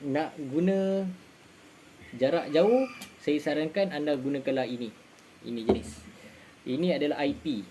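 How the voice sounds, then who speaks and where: A man's voice: medium pitch, dark tone, slight background noise, low-quality recording. A man with a Malaysian accent giving a casual, informal explainer to viewers, often repeating his phrases for emphasis. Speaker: amateur radio vlogger giving advice.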